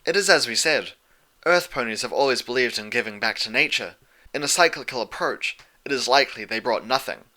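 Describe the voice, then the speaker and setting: A solemn pony princess of the night in a storybook, read by a man. The tone is bright and forceful, the phrasing formal and grave. She is stern. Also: mid-range voice, expressive, brisk.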